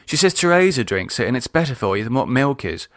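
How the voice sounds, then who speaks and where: A man's voice: mid-range voice, big pitch swings, quick pace, a bit bright, dry recording. A man acts out a middle-aged British wife and mother in an audiobook, grumbling and sardonic with a flirty edge. The intonation swings animatedly, and the sound is dry and close. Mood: exasperated.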